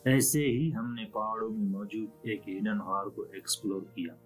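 Guy doing calm voice-over for a hiking vlog, lowish voice, soft music under it. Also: moderate pace, rough recording. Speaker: travel vlog narrator. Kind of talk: scripted voice-over narration. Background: soft background music.

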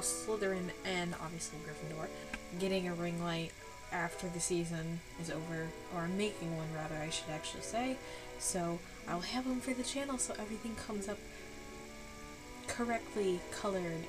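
A woman's voice: lowish voice, rough recording. Tired nasal young woman vlogger. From Buffalo, New York. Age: 20s. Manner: rambling, apologetic, chatty. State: tired, getting sick. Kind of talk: casual haul vlog. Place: dark room at home.

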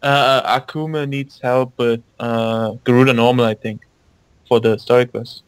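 A man's voice: mid-range voice, little pitch movement, unhurried, clean tone, no room sound. A young man chatting casually and wryly while playing an online game on a voice call. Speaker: gamer on a voice call. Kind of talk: casual chat while gaming.

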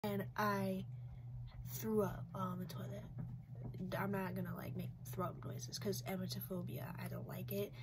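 A woman's voice: medium pitch, level tone, normal speed, noisy background. A young woman in her twenties with a stuffy, congested voice from a cold, chatting casually and rambling with lots of fillers while reviewing dolls in her bedroom. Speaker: doll collector vlogger. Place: small decorated bedroom, indoors.

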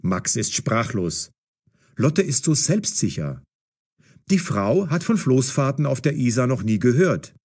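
A man narrates a scripted story. His voice is middling in pitch and husky. He tells it with animated, wide-ranging intonation. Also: measured pace.

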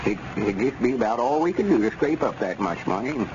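An actor playing a cautious, placating old storekeeper in folksy rural Southern US drawl, in 1940s radio comedy style. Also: male voice, medium pitch, very animated, fast, lightly acted. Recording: noisy, muffled old radio recording.

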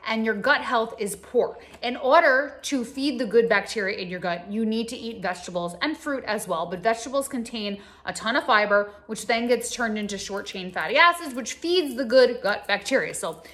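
A woman's voice: fairly high pitch, expressive, moderate pace, few pauses, fairly bright tone. A woman in her thirties talking straight to camera as a health coach, casually instructing in a conversational, emphatic way in a home kitchen. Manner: conversational, emphatic, addresses the audience directly. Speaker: health coach and video host.

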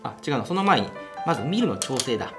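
Fast, animated young man explaining coffee. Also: mid-range voice. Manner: enthusiastic, chatty, laughs while explaining. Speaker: coffee channel host. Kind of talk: casual how-to demonstration. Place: small indoor home café room. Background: background music.

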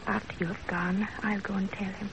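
An actress in 1930s radio drama style playing a gentle, dutiful young Japanese wife with earnest, formal phrasing. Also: female voice, medium pitch, flat delivery, fairly quick, natural voice. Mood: tender, resolute. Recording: dull, noisy 1930s radio recording.

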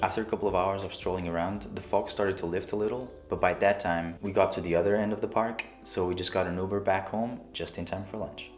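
A man's voice: sits low, fairly even intonation, fast talker, few pauses. A young man narrating his travel vlog in a scripted way, with dry, self-mocking humor. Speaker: vlogger. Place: small room at home, talking to camera. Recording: roomy echo with soft guitar music.

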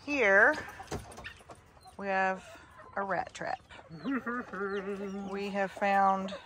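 Brief: older woman, chatty backyard chicken keeper, outdoors, hens clucking clearly behind her. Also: medium pitch, fairly even intonation, extremely slow, a bit bright, a little hiss or hum. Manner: chatty, sing-song greetings to her hens. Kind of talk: casual walk-through chat.

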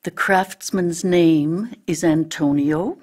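A woman voicing a talking piece of ebony in a storybook, female, breathy and poetic. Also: lowish voice, slow, a little mellow. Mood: reassuring. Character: smooth, poetic female ebony wood from hot lands. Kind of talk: storybook character reading.

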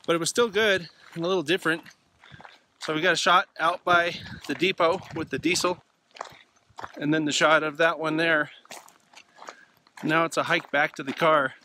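A man's voice: fairly high pitch, bright, forceful tone, a little hiss or hum. A middle-aged man chatting enthusiastically to a handheld camera outdoors, restarting words as he walks. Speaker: vlog host. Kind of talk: casual vlog narration to camera. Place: outdoors in open mountain country. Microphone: handheld camera at arm's length.

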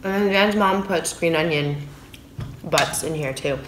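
A woman in her thirties talks casually between bites of food at her dining table. Her voice sits a little low and relaxed, picked up by a phone in an echoey room with a faint background hum. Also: unhurried. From San Francisco, USA. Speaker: food vlogger. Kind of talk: casual eating vlog. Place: small room at home, indoors at a dining table. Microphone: phone camera on the table.

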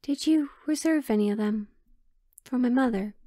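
A woman's voice: fairly high pitch, moderate pace, mellow, no echo, clean studio sound, natural voice. A woman playing a sheltered, pleading young royal in a romantic audio drama, with hesitant, formal old-fashioned phrasing. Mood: wistful, hesitant.

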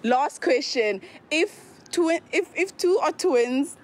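A woman interviewing people on the street, upbeat and quick with her questions and thanks. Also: high voice, lively intonation. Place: outdoors on a street. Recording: street recording with faint noise.

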